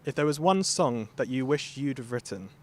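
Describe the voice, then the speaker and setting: A man's voice: medium pitch, animated, moderate pace. A man working as a radio interviewer, putting questions to guests. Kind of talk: upbeat celebrity interview questions.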